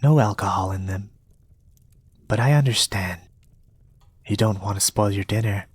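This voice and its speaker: A young man reading a storybook in a soft-spoken, partly whispered ASMR style, with a gentle, measured delivery. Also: on the low side, breathy edge, dry recording. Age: young adult. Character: polite soft-spoken young male reader, quietly eerie. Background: soft music.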